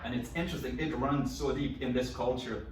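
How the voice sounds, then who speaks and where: Accented man, halting speech, middle pitch, very echoey room heard from afar. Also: fairly even intonation. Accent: foreign. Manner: halting, stumbles and repeats words. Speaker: co-host. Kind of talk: panel discussion. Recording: very echoey room from far off, faint noise.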